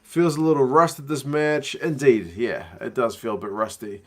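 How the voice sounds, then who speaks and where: A man's voice: mid-range voice, moderate pace. A young man with a New Zealand accent, muddled by years abroad, chatting casually on a rugby watch-along stream and reading out viewer messages. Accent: New Zealand, mixed from years abroad. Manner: relaxed, chatty, slangy.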